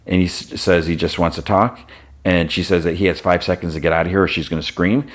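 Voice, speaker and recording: A man's voice: deep voice, fairly even intonation, fast. A man hosting a podcast, retelling a TV episode scene by scene in a loose, casual way and quoting the characters as he goes. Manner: retells scenes, briefly voicing characters in quotes; loose, with restarts and "he's like" quotes. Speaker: podcast host.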